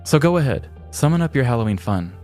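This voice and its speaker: A man's voice: medium pitch, big pitch swings, fast talker, a little mellow, faint music behind. A man co-hosting a podcast, speaking in a storytelling and conversational style. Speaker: podcast co-host. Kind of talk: scripted story narration and casual banter.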